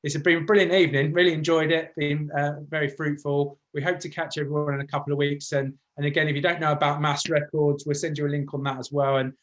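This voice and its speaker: A man's voice: fairly high pitch, level tone, quick pace, few pauses, fairly bright tone, lo-fi sound. A man informally hosting an online class in an effusive, chatty way. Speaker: host of music masterclass.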